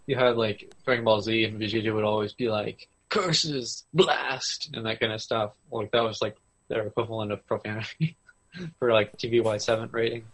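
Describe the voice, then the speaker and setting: A man's voice: fairly low pitch, steady tone, a little fast, rough recording. A man co-hosting a podcast in casual chat about anime, laid-back and a little hesitant as he asks and answers. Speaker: podcast co-host.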